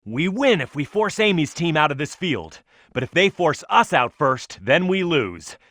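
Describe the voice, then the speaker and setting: A hot-headed echidna brawler from a video game, brash and sure of himself. His voice sits a little high for a male and swings widely in pitch. Every phrase is punchy and emphatic, recorded very dry and close. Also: very animated, unhurried, fairly bright tone, no room sound, heavily acted. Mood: urgent, instructive. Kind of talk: video game voice acting, tutorial hints and battle shouts.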